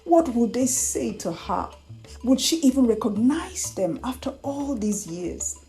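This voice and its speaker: A woman narrating a scripted folktale as a storyteller, in an unhurried, measured delivery. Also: fairly high pitch, very animated, dark tone. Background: background music.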